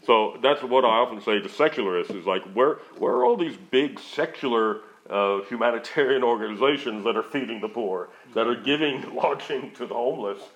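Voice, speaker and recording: A man's voice: mid-range voice, lively intonation, fairly quick, hardly any pauses, fairly bright tone. A man who works as a missionary answers audience questions in an earnest, conversational way, often restarting his sentences as he goes. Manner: rambling, frequent restarts. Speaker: missionary speaker. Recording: dull lo-fi audio.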